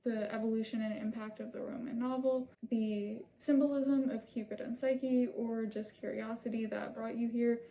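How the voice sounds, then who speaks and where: A woman's voice: a little high, steady tone, normal speed, hardly any pauses. A woman hosting her own channel, reading a scripted video essay in an earnest, apologetic way. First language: not Italian. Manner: soft-spoken, apologetic, earnest. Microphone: camera mic, seated holding a script.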